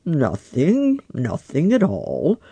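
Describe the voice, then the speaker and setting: A wise old frog, voiced by a storybook reader, mild and unhurried, drawing the listener along with calm questions. The voice sits somewhat above the reader's own and moves slowly. Gravelly and close, with a dry sound. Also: medium pitch, no room sound, heavily acted.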